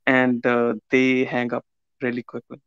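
A hesitant man with an Indian accent, stumbling over fillers as he talks on a video call. His level voice has little pitch movement. It comes through a dull, dry laptop microphone. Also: monotone, measured pace, fairly bright tone, smooth, no echo. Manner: hesitant, many uh fillers, apologetic. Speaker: coaching student. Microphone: laptop webcam mic on a video call.

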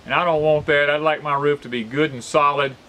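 A man's voice: a little high, fairly even intonation, a little fast, sharp tone. Plainspoken older man outdoors. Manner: plainspoken, practical, frequent uh fillers. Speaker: homesteader vlogger. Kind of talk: casual how-to explanation. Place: outdoors on a rural farm by a barn. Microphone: camera on tripod at medium distance.

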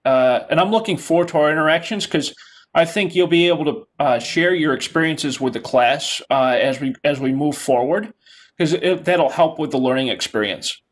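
Middle-aged guy teaching over a webcam call, bit high voice, keeps saying i i and super. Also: normal speed. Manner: encouraging, repeats words. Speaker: instructor. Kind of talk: casual class video call. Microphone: video call webcam.

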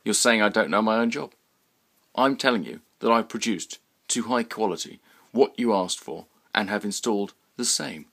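Man acting a stubborn, truculent tradesman, low-voiced. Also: creaky, a bit bright. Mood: belligerent. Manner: blunt, slow and emphatic, informal. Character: stubborn, truculent tradesman, a sign maker, father.

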